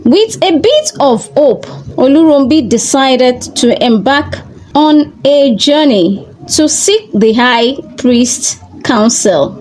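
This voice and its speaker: A woman narrating a scripted folktale as a storyteller, in deliberate, measured short phrases. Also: high-pitched, lively intonation, a little mellow, low-quality recording.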